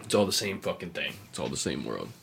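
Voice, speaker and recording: A man hosting a podcast, explaining video game lore in a casual, rambling, sweary way, with a gravelly, husky voice. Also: low-pitched, sing-song, fast talker, a bit bright. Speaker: podcast host.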